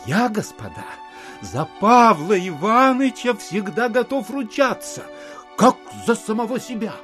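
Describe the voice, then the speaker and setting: An actor playing a sugary, sentimental gentleman landowner in an audio play, gushing in a genteel way. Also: sits high, sing-song, unhurried, faint music behind, heavily acted. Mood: fawning devotion.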